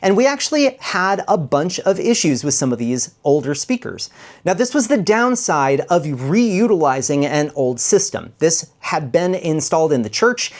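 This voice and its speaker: A man's voice: on the high side, darkish tone. A man presenting an explanatory tutorial as an audio engineer, talking step by step in an instructive way. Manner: instructive, step-by-step, checks in with "okay?".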